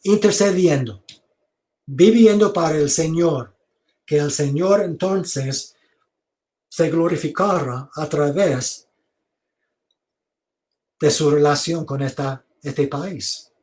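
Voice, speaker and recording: A man giving an online theology lecture over a video call, speaking slowly, repeating words and inviting questions. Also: a little high, darkish tone. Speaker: seminary lecturer.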